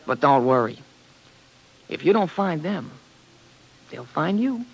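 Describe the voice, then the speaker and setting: An actor playing a breezy, wry counter attendant with a gravelly voice in a 1950s radio drama. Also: male voice, on the high side, very animated, slow, background noise, exaggerated voice. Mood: wry, offhand.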